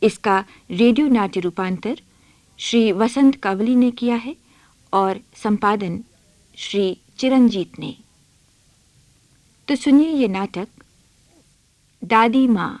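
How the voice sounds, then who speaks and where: Slow, formal woman radio announcer. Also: fairly high pitch, a little mellow, dry recording.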